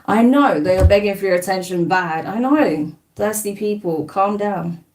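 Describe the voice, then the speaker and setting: A woman's voice: mid-range voice, moderate pace, darkish tone, far from the mic, rough recording. A woman with a slight New Zealand twang hosting a live card-reading stream, chatting casually and rambling as she reads viewer comments and bluntly dismisses trolls.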